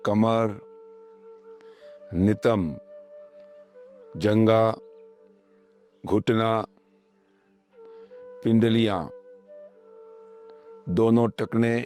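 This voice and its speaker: A man leading a guided meditation, speaking calmly and measuredly in short phrases with pauses, as slow instructional narration. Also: on the low side. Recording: voice over background music. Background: meditation music.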